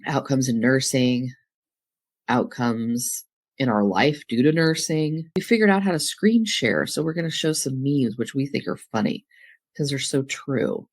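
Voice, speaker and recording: A woman's voice: rumbling low, animated, a little slow, no echo. A woman co-hosting a nursing podcast, a registered nurse with a cold that makes her sound nasal, chatting casually with many fillers. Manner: chatty, lots of fillers and affirmations.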